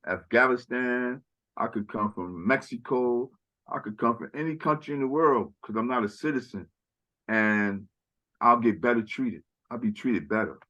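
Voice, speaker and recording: A man's voice: medium pitch, pushed and bright, muffled recording. A man speaking in a casual conversational chat as a podcast guest, rambling and often tagging "right?" and "you know".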